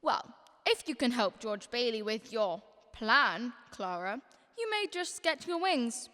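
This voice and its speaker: Commanding, exasperated chief angel, played by a young woman: high, bright, emphatic, long heavenly reverb. Radio drama. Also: big pitch swings, a little slow, heavily acted. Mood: instructive. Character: authoritative, exasperated superintendent of angels briefing a trainee. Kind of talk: stage-like radio drama acting. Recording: long hall-like reverb effect.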